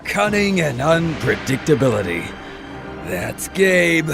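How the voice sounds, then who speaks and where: A menacing archangel of wrath, played by a man, in an audio drama. The lines are short and theatrical, with animated pitch. Loud music runs underneath. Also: slow pace, gravelly, heavily acted. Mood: exultant. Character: menacing, wrathful male archangel, grinning and taunting. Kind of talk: scripted audio drama acting.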